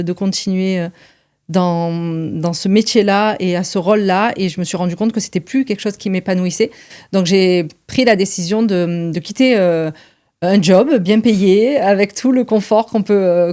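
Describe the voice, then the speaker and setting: A middle-aged woman talks in a relaxed, conversational podcast style. Her voice sits at an average pitch for a woman. She builds sentences in short pieces, hesitating often between them. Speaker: podcast co-presenter, business manager. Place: indoors at her home.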